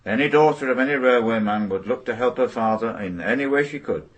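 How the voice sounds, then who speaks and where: An actor playing a protective, indignant railway union representative with a husky voice, formal and emphatic, in a radio drama. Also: male voice, medium pitch, fairly quick, close to an everyday voice. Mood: firm, protective. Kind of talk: naturalistic radio drama acting.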